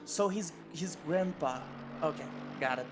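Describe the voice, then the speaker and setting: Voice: medium pitch, measured pace, background noise. A co-commentator chatting casually during an online race broadcast, joking and laughing often. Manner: playful, laughs often, lively swooping exclamations.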